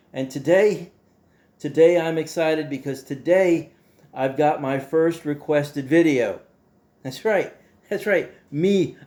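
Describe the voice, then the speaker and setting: A man hosting a casual monologue to his viewers in a folksy, playful way, halting and repeating himself as he goes. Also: a little high, darkish tone. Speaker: host.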